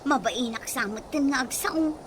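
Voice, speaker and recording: A woman's voice: high-pitched, moderate pace. A woman in an Ilocano radio comedy drama, playing someone fretting over new dentures. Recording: noisy background hiss.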